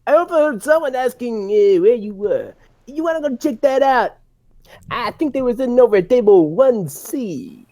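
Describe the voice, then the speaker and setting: A man's voice: very high voice, expressive, normal speed, warm tone, pitched above the performer's own voice. A man voicing a wary, folksy male cook in a comedy script read-through. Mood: wary, casual.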